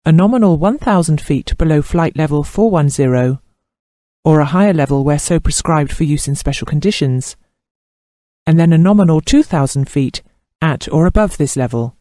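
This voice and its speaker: A man reading scripted instructional narration as a training narrator, in a formal, measured and precise manner. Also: fairly high pitch, mellow, no echo, clean studio sound.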